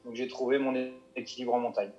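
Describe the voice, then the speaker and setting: A man's voice: mid-range voice, steady tone, fast talker, darkish tone. Hesitant man with dull lo-fi sound. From Paris suburbs. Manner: hesitant, many restarts and fillers. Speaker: mountain guide. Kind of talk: interview answers.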